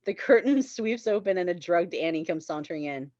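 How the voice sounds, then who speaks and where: A woman's voice: lowish voice, animated, brisk, darkish tone, dry recording, highs cut off. A woman reading stage directions as scripted narration at a table read.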